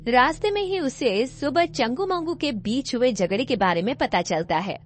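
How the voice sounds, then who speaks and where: Higher-pitched woman storyteller. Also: lively intonation, normal speed. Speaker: narrator. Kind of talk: scripted story narration.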